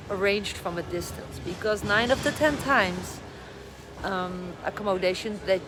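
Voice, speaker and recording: A middle-aged Dutch woman talks into her phone in a casual, slightly scattered way, a little short on sleep. Her English carries a clear Dutch accent. Busy airport noise runs steadily behind her voice. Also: medium pitch. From Netherlands. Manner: chatty, a little scattered, greets viewers. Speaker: vlogger giving advice. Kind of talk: casual live stream chat. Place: airport departure area. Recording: noisy airport live stream. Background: airport ambience.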